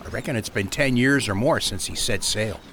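A man's voice: fairly low pitch, moderate pace, pushed and bright, a little hiss or hum. A man playing a blunt, gossipy harbor master in a radio drama, folksy and confiding. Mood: sly gossip.

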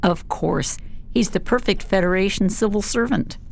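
An actress playing a blunt, weary, principled scientist in a science fiction radio drama. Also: female voice, mid-range voice, normal speed, creaky, lightly acted. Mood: bitter, sardonic. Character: principled, weary, pessimistic woman scientist at an alien colony.

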